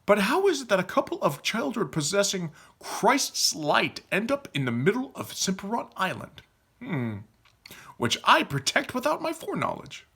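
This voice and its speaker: A man's voice: high-pitched, huge pitch swings, moderate pace, creaky, bright, forceful tone, breathy edge, voice pushed up, exaggerated voice. A man voicing a self-assured young male leader of holy knights in a storybook, stately and probing.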